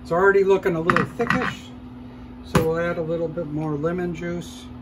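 A man hosting a cooking show, calmly explaining each step as he cooks in a shop demo kitchen. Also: a little high, brisk, background noise. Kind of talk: casual cooking demonstration.

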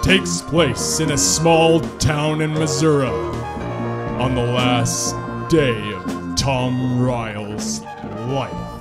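Man as a slow, portentous radio horror narrator. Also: medium pitch. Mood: solemn, ominous. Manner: grave, dramatic, halting phrases. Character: solemn, portentous male narrator of strange tales. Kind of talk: old-time sci-fi horror radio narration. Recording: live stage performance with loud music.

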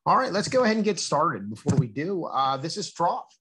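A man's voice: a little high, big pitch swings, a little fast, a bit bright, breathy edge. An American man reading a children's book aloud in a teacherly way, explaining and asking his young listeners questions.

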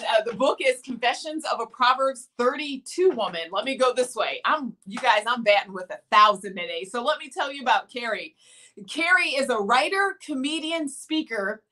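A middle-aged woman hosting a live video show from her home, talking with lively enthusiasm to her viewers. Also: a little high. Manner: animated, gestures a lot. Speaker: host. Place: home room with plant and mannequin.

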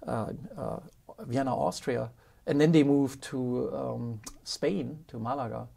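Guest voice: fifties man, German accent, husky and gravelly, lively storyteller who laughs a lot, studio interview. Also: medium pitch, slow. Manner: animated, laughs often, acts out quotes. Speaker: guest, professor. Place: studio with dark curtain backdrop.

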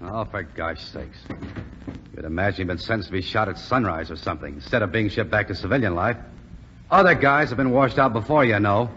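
Exasperated young male cadet, fairly low voice, slangy scolding, dull vintage radio sound with faint noise. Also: fairly quick, pushed and bright. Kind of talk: 1940s radio drama acting.

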